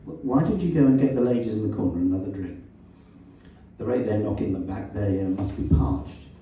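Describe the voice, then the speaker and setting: Man voicing a petty crook, dry, evasive, hostile, very soft dark tone, storybook reading in echoey room. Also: lowish voice, a little fast, slight background noise, lightly acted. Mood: defensive. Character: evasive, hostile young petty crook, male narrator hero. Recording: echoey room, distant mic, muffled sound.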